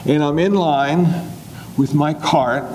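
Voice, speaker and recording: A man in his seventies giving a relaxed, joking talk on Buddhist teachings in a folksy, conversational way. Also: on the high side, takes it slowly, slight background noise. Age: 70s. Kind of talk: humorous dharma talk.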